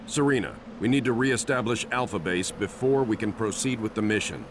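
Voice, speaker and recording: An actor playing an authoritative warship captain in a game cinematic, measured and decisive. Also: male voice, lowish voice, noisy background, lightly acted. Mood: steady command.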